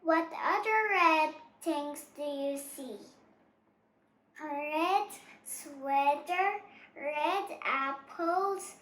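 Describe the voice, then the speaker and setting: Child storybook reader, very high voice, halting delivery, roomy echo. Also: pushed and bright. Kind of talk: read-aloud of a picture storybook. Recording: roomy, echoing sound.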